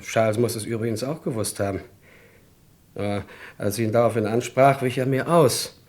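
An actor playing a hesitant yet gossipy witness in a radio crime drama, halting as he searches for words. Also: medium pitch, fairly even intonation, a little mellow, natural voice. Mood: confiding.

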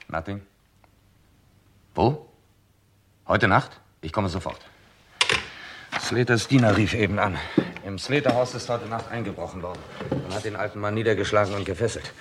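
An actor playing a brisk, sceptical police detective in charge, in a German radio crime drama, with a businesslike, probing manner. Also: male voice, lowish voice, a bit bright, lightly acted. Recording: faint noise, vintage radio drama.

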